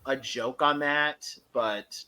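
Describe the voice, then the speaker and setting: A man co-hosting a casual fan review podcast, talking in a rambling, halting and enthusiastic way. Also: mid-range voice, sharp tone. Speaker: podcast co-host.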